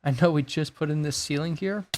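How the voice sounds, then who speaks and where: A man with a raspy voice that is going hoarse, thinking aloud in a laid-back way while he builds in a game. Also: a little high, a little fast, noisy background. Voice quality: gravelly, raspy. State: losing his voice. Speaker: gaming YouTuber. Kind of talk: casual gameplay commentary.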